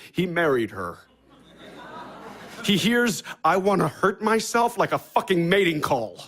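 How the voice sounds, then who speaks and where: An actor playing a blunt, cynical man in an audio drama, brusque and sarcastic. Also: fairly high pitch.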